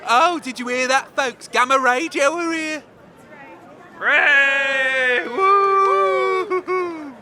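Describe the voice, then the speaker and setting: A man playing a loud, shifty bookmaker at a wasteland games in a comedy sketch. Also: very high-pitched, drawn-out words, pauses often, full character voice. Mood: hyped. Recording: outdoor crowd noise.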